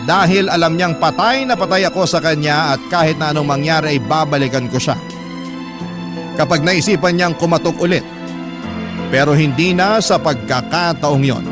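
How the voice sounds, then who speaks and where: A man reading a first-person love story as a radio host, in a measured, expressive, dramatic storytelling style. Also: fairly high pitch. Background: music.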